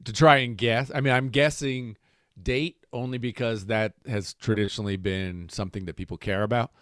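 A man's voice: medium pitch, fairly even intonation, moderate pace, fairly bright tone, dry recording, studio quality. A man hosting a casual interview, chatting informally and with enthusiasm. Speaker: interviewer, host.

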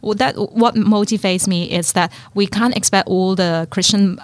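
A woman from China, a guest, talking thoughtfully about translation in a relaxed panel conversation, with frequent restarts. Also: mid-range voice, normal speed, dry recording. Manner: thoughtful, restarts often, laughs easily.

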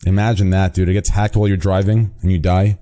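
A man in his late twenties hosting a casual group podcast chat, excitable and saying dude a lot. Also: sits low, fast. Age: 20s. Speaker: podcast host.

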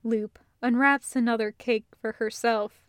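Female audiobook narrator, higher-pitched, very slow measured read, understated delivery. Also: fairly even intonation, no room sound, clean studio sound. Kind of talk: scripted fan fiction narration.

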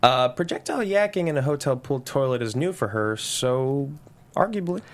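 A man's voice: mid-range voice, expressive, normal speed, natural voice. A man playing an earnest, goofy husband and dad at a sitcom table read, wordy and drily jokey. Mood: wry, playful.

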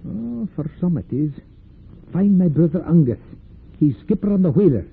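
Quaker father, middle-aged, kindly but firm, radio drama, higher male register, faintly noisy vintage sound. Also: animated, normal speed, gravelly. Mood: earnest. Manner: plain Quaker speech with thee and thou. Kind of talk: old-time radio drama acting. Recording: muffled, noisy old radio recording.

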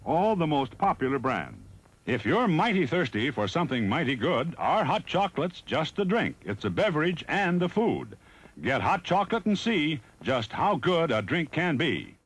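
A husky-voiced man pitches refreshments in the style of a vintage advertisement. His voice is fairly high and lively in its intonation. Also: normal speed. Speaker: advertising announcer. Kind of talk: vintage snack bar advertisement.

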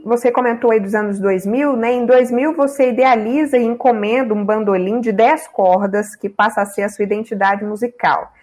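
A woman hosting a radio interview, reading a measured scripted introduction and asking clear questions. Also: on the high side, darkish tone.

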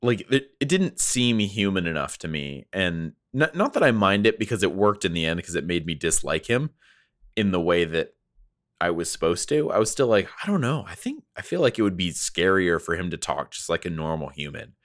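Deep-voiced man, very animated, chatting casually. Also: normal speed, no room sound, studio quality. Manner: jokey, often acts out imagined lines. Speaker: podcast co-host. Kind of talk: casual chat reviewing an animated series.

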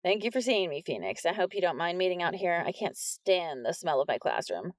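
A woman voicing a stiff, bored female art teacher who speaks briskly and flatly. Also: fairly low pitch, no room sound, close to an everyday voice.

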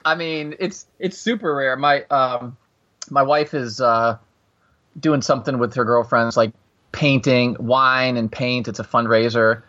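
Forties male guest, bright forceful tone, rambling storyteller who keeps restarting, dull lo-fi line. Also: medium pitch, steady tone, measured pace. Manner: rambling, restarts a lot, gets loud. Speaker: podcast guest, host of another show. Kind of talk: casual podcast chat, storytelling.

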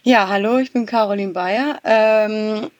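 Relaxed rambling woman on a dry video call. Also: mid-range voice, measured pace, no echo, highs cut off. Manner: relaxed, rambling, frequent "ähm", laughs easily. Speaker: guest, garden designer. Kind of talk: interview answers.

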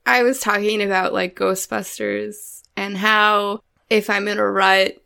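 A woman's voice: mid-range voice, little pitch movement, measured pace, vocal fry, bright, forceful tone. A woman co-hosting a podcast in casual chat, enthusiastic and giggly, gushing about a film. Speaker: podcast co-host.

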